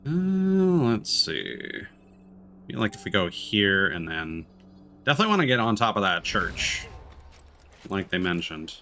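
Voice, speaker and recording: Male livestreamer, very low voice, casual musing game commentary. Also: big pitch swings, unhurried, long pauses, sharp tone, slight background noise. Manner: musing, thinking aloud. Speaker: game streamer.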